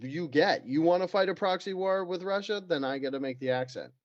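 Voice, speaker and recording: A man's voice: a little high, brisk, no room sound, muffled recording. A man co-hosting a podcast in casual, profane, joking chat. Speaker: podcast co-host.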